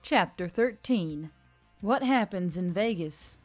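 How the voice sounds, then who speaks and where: A woman's voice: medium pitch, expressive, slow pace, faint music behind. A woman reading scripted fiction as a story narrator.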